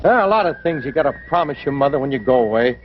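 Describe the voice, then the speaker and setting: An actor playing a regretful middle-aged racetrack man, narrating his youth in a folksy, rambling, halting way in a 1950s television drama. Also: medium pitch, expressive, natural voice. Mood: reminiscent. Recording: dull old TV soundtrack, noisy.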